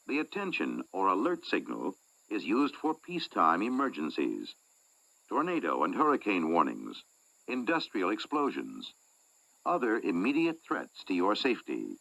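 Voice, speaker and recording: A man announcer reading scripted civil defense instructions in a measured, instructive manner. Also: deep voice, wildly swooping pitch, creaky, fairly bright tone, dry recording, low-quality recording. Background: music.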